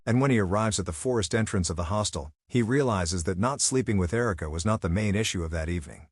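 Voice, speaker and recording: A man's voice: lowish voice, quick pace, slightly rough, no room sound, clean studio sound. A man who speaks English as a second language, narrating a scripted story in a theatrical, suspenseful way. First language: not English.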